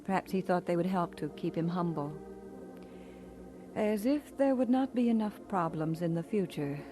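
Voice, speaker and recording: An actress playing a gentle, devoted pastor's wife with composed delivery in an old-time radio drama. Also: female voice, on the low side, expressive, normal speed, faint music behind, lightly acted. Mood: reflective.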